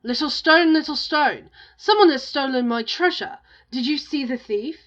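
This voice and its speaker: Woman acting a loud, raging ogre. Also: high voice, moderate pace, lo-fi sound, pitched above the performer's own voice. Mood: suspicious, demanding. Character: big gullible raging male oni ogre.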